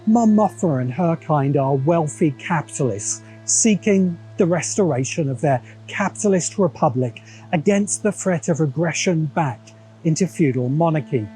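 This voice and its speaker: A man's voice: fairly high pitch, expressive, moderate pace, dark tone, faint music behind, rough recording. An English man delivering scripted video essay narration in a didactic, wry way. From England.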